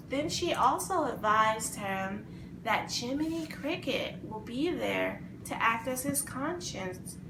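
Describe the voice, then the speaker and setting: Lady retelling a kids story slow and dramatic, high voice, echoey room. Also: vocal fry. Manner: expressive, dramatic. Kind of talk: animated, improvised retelling of a children's fairy tale. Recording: roomy echo, faint background noise.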